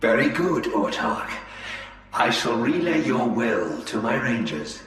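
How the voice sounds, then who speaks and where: An actor playing a cunning, haughty alien elf spymaster in a video game, speaking in a measured, formal, sly manner. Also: male voice, medium pitch, big pitch swings, fairly bright tone. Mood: grave, scheming. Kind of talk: video game cutscene acting. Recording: cavernous long reverb, distant, faint music.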